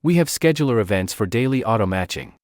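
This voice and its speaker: A man narrates a software walkthrough from a script. His mid-pitched voice moves with lively intonation through short, clipped, list-like phrases. The sound is dry, free of room reflections. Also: fast talker, no echo. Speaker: software demo presenter.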